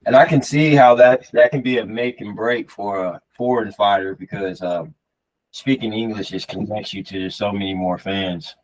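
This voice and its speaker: Male co-host, lower register, casual conversational answers on a sports show. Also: normal speed, low-quality recording. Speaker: podcast co-host. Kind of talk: casual chat.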